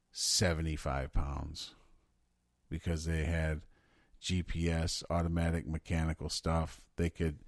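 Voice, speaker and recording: Deep-voiced excitable man chatting. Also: dry recording, clean studio sound. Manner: excitable, swearing, riffing on questions. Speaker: podcast co-host. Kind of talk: casual chat.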